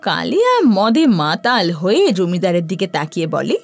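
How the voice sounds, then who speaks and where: A woman narrating a scripted horror story in an expressive storytelling manner. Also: on the low side, normal speed, gravelly.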